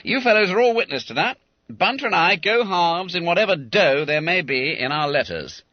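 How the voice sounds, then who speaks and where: Man as a cool, drawling schoolboy, higher-pitched. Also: lively intonation, normal speed, dry recording, lo-fi sound. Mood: confident. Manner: drawling, amused. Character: cool, teasing schoolboy, sporty and self-assured.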